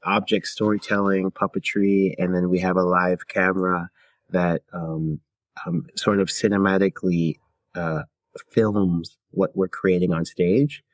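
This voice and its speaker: A man from Canada talking casually as an interview guest, a performer thinking aloud in short, halting phrases. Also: deep voice, no room sound. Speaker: guest, singer-songwriter and theater performer.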